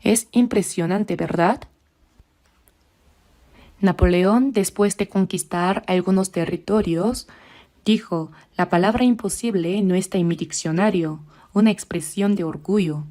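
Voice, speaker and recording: A woman preaching a sermon in church, measured, slowing down for scripture readings. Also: medium pitch, dark tone.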